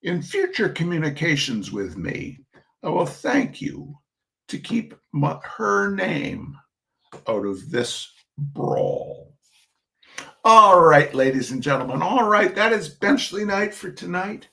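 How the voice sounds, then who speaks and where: Animated, high-pitched man reading comedy. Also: takes it slowly, creaky. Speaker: host reading humorous essays. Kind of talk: theatrical humorous reading, chatty asides.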